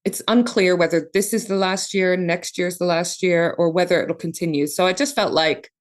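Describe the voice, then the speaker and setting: A woman's voice: fairly low pitch, steady tone, normal speed. A woman in her early forties from Palestine, co-hosting a podcast in a casual chat and swearing freely as she talks. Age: 40s. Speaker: podcast co-host.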